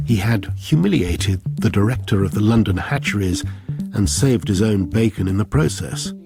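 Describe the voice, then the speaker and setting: A knowing storyteller narrates a radio drama. His voice is fairly low, the delivery scripted and dramatic. Music plays clearly behind him. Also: expressive, moderate pace. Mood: knowing, measured.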